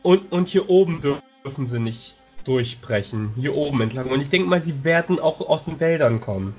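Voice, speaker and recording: A man playing a tabletop game, planning a battle while thinking aloud with many pauses and fillers. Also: medium pitch, expressive, a little mellow, soft background music. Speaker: tabletop player.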